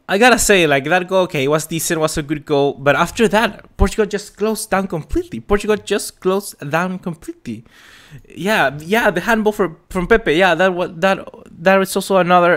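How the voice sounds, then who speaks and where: This is a young man from Peru running a live football reaction stream. His voice sits a little on the high side. He talks with heated passion and plenty of exasperation, rambling and circling back over his own words. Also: normal speed, a bit bright. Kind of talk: live match reaction chat.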